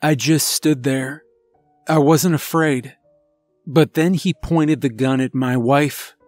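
Slow, grave werewolf father voiced by a man. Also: mid-range voice, steady tone, a little mellow, no room sound, natural voice. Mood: grim, intense. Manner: halting, grave, emotional. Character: remorseful werewolf husband and father confessing on tape. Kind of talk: dramatic first-person horror storytelling. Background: background music.

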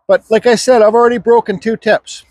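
A man's voice: high voice, fairly even intonation, a little fast, heavy background noise. A middle-aged man giving an offhand, chatty chainsaw carving lesson in an outdoor yard, recorded on a nearby camera mic. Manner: offhand, chatty, talking while working. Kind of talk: casual how-to demonstration.

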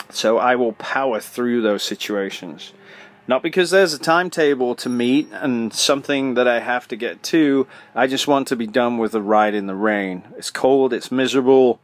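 A middle-aged man talks straight into a close podcast microphone, practical and direct, asking questions and answering them himself. His voice sits at an ordinary male pitch. The recording is dry, with no room sound. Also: no echo. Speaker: podcast host, motorcycle suspension tuner. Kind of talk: solo podcast advice talk.

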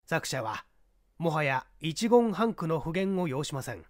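A man narrating a literary mystery audiobook in a deliberate, theatrical way. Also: on the high side, sing-song.

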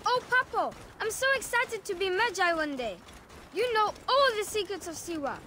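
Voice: very high-pitched, lively intonation, normal speed, pushed and bright, a little hiss or hum, heavily acted. An actor playing an eager, anxious young boy who adores his father in a game cutscene. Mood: eager, curious. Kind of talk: dramatic game cutscene acting. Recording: faint game music behind.

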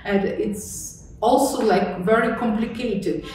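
A woman in her fifties from Donetsk speaks slow, broken-up English with a Ukrainian accent. She stops every few words with an "uh." The mic sits well away from her, and the room's echo is strong. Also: a little high, a little mellow, recorded from a distance, slight background noise. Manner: very halting, frequent "uh". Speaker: journalist. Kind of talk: interview answers in English. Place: large conference room indoors. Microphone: distant mic, seated wide shot. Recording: echoey room, mic set off.

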